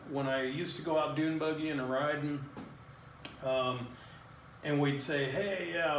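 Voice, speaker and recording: A man giving a casual, unscripted how-to in plain, informal language, as a hobbyist presenter. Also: fairly high pitch. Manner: plain-spoken, informal, colloquial. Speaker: hobbyist presenter demonstrating a DIY headset build. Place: roomy garage. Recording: roomy echo, distant mic, faint noise.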